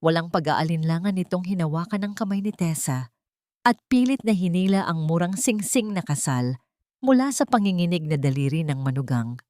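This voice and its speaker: A woman narrates a romantic drama as a storyteller. Her voice sits a little low, with a solemn, emotive delivery. The recording is dry, with no room sound. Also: lively intonation, moderate pace, darkish tone, dry recording. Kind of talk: scripted dramatic narration of love stories.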